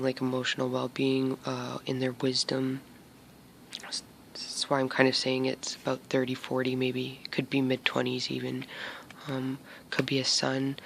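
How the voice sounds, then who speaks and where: A man talks viewers through a tarot spread in a flat, gravelly voice with a sharp, tense edge. He hesitates often, fills gaps with ums, and keeps slipping into a soft whisper. Faint noise sits under the voice. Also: mid-range voice. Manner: hesitant, many fillers, often drops to a whisper. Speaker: tarot reader. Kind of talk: casual tarot reading for viewers.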